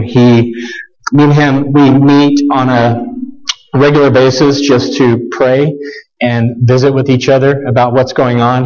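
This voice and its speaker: Man, guest preacher, scratchy voice, slow unhurried delivery, dull lo-fi recording. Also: medium pitch, darkish tone. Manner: casual, humble, with fillers and restarts. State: scratchy voice with a cough. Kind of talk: sermon. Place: church sanctuary.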